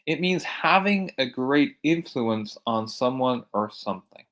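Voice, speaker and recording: A man from Ireland with an American-sounding accent teaching English vocabulary, repeating each word slowly and clearly for learners. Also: mid-range voice, expressive, a bit bright. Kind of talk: recorded vocabulary lesson.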